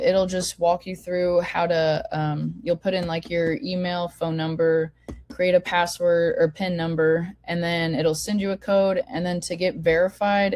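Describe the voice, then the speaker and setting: A woman with a low voice, hoarse from a lingering cold, talks attendees through a website over a video call. Her delivery is informal and full of fillers and restarts. The sound is dry and close, with no room echo. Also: darkish tone. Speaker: forum host. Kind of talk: casual online meeting walkthrough.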